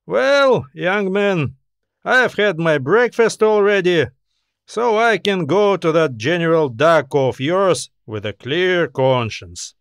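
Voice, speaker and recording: Man voicing a wry old scientist, pitched up. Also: animated, slow pace, clean tone, no room sound, studio quality, full character voice. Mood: amused, wry. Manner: mild, polite, slyly self-deprecating. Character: genial, wry old scientist, playfully forgetful.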